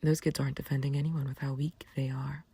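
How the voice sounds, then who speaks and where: Breathy, hushed villainess, acted by a woman. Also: rumbling low, little pitch movement, full character voice. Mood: smug taunting. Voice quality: breathy, hushed near-whisper. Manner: unhurried, condescending, mock-sympathetic taunting. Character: calm, taunting female villain, menacing yet fond of a friend. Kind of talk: scripted roleplay audio acting, intimate ASMR.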